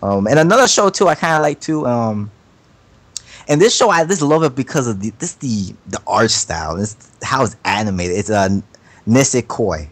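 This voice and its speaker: A man chatting casually on an anime podcast, rambling through his opinions in slangy, profane, halting speech full of repetition. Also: medium pitch, sing-song, no room sound.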